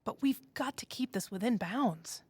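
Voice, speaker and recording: A woman playing a stern, cautious office boss in an audio drama, speaking in a firm, measured, exasperated way. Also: fairly high pitch, expressive, darkish tone, husky, no room sound.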